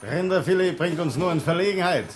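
A man's voice: high voice, animated, a little fast, faint music behind. An actor playing an easygoing, teasing male friend in conversational radio drama banter.

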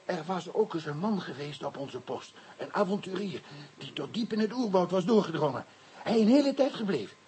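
A man playing a frightened, naive Pygmy mission convert in a radio play, speaking halting, stammering, broken Dutch with inverted word order. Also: high voice, expressive, darkish tone, a little hiss or hum. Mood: earnest, confiding.

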